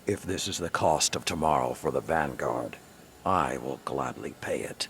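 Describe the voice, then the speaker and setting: An actor playing a grave, honor-bound old warrior and mentor in a video game, gravelly, solemn and measured. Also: male voice, very deep voice, a little hiss or hum, heavily acted. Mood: solemn resolve. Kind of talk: dramatic video game cutscene acting. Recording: loud music under the voice. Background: music.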